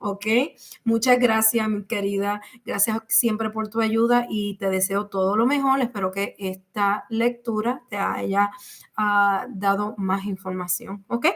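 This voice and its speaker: A woman's voice: mid-range voice, steady tone, moderate pace, fairly bright tone. A woman with a Puerto Rican accent giving a live tarot reading in a chatty way, full of fillers and repetition. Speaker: tarot reader.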